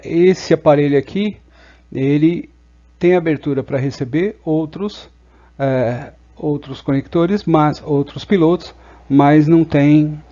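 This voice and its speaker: A man's voice: a little high, mellow, muffled recording. A Brazilian man presenting a casual product review in an explanatory way, often checking in with short tag questions.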